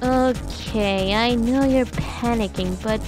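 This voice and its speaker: A blunt teenage girl from a cartoon comic dub played by a woman, somewhat high in pitch. She sounds exasperated, with music in the background. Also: takes it slowly. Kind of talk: amateur comic dub character acting.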